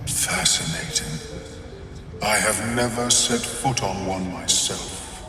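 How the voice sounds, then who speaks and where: A man playing a calm, scholarly mind flayer in a video game, formal and politely clinical, with a detached, measured manner. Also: lowish voice. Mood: fascinated, reflective. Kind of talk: video game dialogue acting. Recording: echoing hall with long reverb, faint music.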